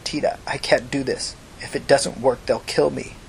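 A man's voice: medium pitch, steady tone, normal speed, creaky, a little hiss or hum, natural voice, low-quality recording. A man voicing a cowed, evasive male hacker, hesitant and whiny, in an audiobook story. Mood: doleful, resigned.

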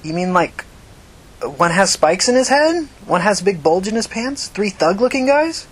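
A woman's voice: medium pitch, big pitch swings, normal speed, slightly rough, pitched above the performer's own voice. A woman voicing a kind but blunt young streetwise woman in a story reading, earnest and plain-spoken. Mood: puzzled, probing. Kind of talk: audiobook character acting.

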